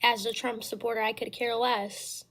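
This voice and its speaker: A woman in her twenties from the United States, speaking with a very high voice in a casual debate, halting at first, then insistent. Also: flat delivery, fairly bright tone. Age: 20s. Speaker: caller.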